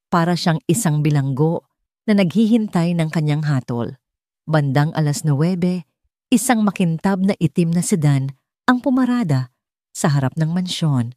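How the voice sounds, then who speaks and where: A woman's voice: low voice, dark tone, dry recording, clean studio sound. A woman narrator reading a scripted dramatic story.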